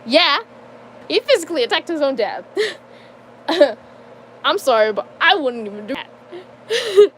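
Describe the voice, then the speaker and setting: A young adult woman giving a casual personal life update, halting and full of fillers, with nervous laughs between sentences. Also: high-pitched, big pitch swings, a little hiss or hum. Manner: hesitant, halting, many fillers and restarts. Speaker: vlogger.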